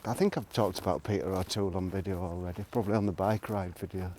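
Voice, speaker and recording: A man with a fairly low voice speaks in a casual, reflective vlog style. He muses and rambles, halting and trailing off between thoughts. Faint noise and clicks sit behind his voice. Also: steady tone, a little mellow. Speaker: vlogger. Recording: noticeable background noise, clicks.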